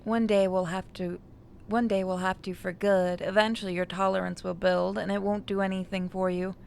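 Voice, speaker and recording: Woman playing a controlled, gravelly female kidnapper. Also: mid-range voice, flat delivery, normal speed, darkish tone, faint music behind, natural voice. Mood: quiet, resigned. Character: calm, possessive, menacing female kidnapper in horror game.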